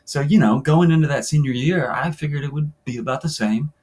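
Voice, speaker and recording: Man reading as wry young soccer player, husky. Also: fairly high pitch, brisk, a little mellow, pitched above the performer's own voice, close to an everyday voice. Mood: wry, casual. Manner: casual, wry, conversational. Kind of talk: reading an oral-history novel as interview monologue.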